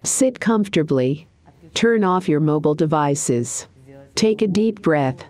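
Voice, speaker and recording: A woman guiding a meditation in a calm, deliberate, soothing way, with pauses between short phrases. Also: deep voice. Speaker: meditation guide and coach. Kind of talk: guided meditation narration.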